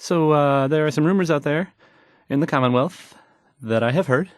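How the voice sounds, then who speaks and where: A man's voice: fairly high pitch, dry recording, studio quality, lightly acted. A man playing a timid, awkward young radio DJ in a video game, hesitant and apologetic as he stammers through the news in a shaky voice. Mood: nervous, tentative. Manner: stammering, halting, apologetic, trailing off. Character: timid, awkward young male radio DJ, anxious and unsure of himself.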